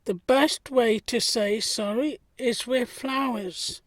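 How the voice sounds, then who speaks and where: A woman playing a plain-spoken, kindly young man in a 1919 audio drama, earnest and measured. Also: a little high, dry recording.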